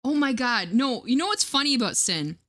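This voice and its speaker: A woman's voice: a little high, big pitch swings, a little fast, over music. A woman streamer chatting casually while reacting to clips, swearing and laughing, with a mixed accent. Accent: mixed online accent. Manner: loose, swearing, laughs often.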